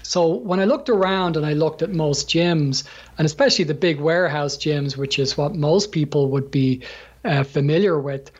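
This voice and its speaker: Husky Irish man, halting and chuckling. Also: fairly high pitch. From Ireland. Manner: halting, many fillers and restarts, chuckles while talking. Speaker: gym owner, guest. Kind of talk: casual interview. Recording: dull lo-fi sound.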